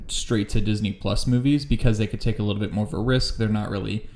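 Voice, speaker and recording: A man's voice: on the low side, steady tone, quick pace, a little mellow, slight background noise. A man co-hosting a podcast in a casual, chatty style. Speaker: podcast co-host. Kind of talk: casual chat.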